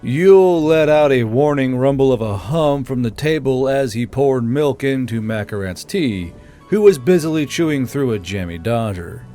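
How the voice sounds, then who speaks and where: A man's voice: mid-range voice, animated, moderate pace, mellow, faint music behind. A man reading scripted fiction as an audiobook narrator.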